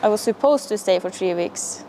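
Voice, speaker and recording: A woman's voice: mid-range voice, lively intonation, normal speed, warm tone, a little hiss or hum, rough recording. A woman coal miner answering an interview casually, hesitating with fillers.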